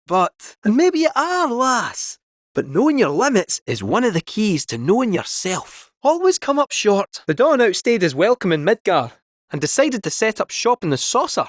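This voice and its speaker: A man's voice: wildly swooping pitch, moderate pace, dry recording, clean studio sound, heavily acted. A man voicing a chatty, scheming male robot cat in a game, with a Scottish accent and a jaunty, theatrical delivery. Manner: jaunty, theatrical, swooping pitch. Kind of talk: video game voice acting.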